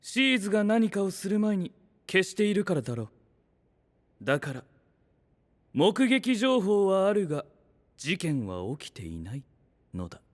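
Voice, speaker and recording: A man's voice: high-pitched, big pitch swings, moderate pace, pauses often. A male voice actor playing a haughty, suspicious young inventor in an anime-style audio drama, speaking in a stiff, formal, lecturing way. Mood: self-assured.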